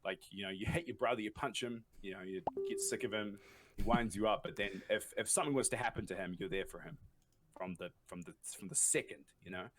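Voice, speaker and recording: A man's voice: lowish voice, fast talker, noisy background. A man from New Zealand with a New Zealand accent, chatting as a podcast guest, rambling with restarts and frequent laughs. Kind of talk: casual podcast chat.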